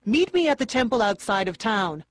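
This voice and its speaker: A woman voicing a determined female demon warrior in a video game cutscene, formal and measured. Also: medium pitch, big pitch swings. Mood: instructive. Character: solemn, determined female demon swordswoman seeking purity.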